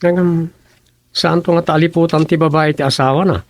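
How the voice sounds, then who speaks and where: A man's voice: on the high side, steady tone, moderate pace, no echo, close to an everyday voice. A man playing a bossy, talkative townsman with a scolding, gossipy manner in a radio comedy-drama.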